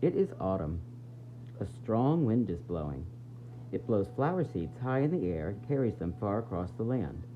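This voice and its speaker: A man reading a picture book aloud as a preschool teacher, expressive and explaining things directly to young children. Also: fairly low pitch, moderate pace. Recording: tinny phone-like audio with faint noise.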